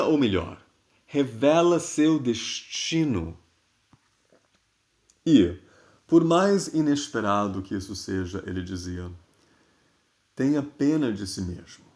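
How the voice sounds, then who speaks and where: A man with a Brazilian accent reads literary prose expressively and deliberately, with dramatic pauses. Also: medium pitch, darkish tone. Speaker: reader of a literary newspaper column. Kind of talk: expressive literary reading, scripted.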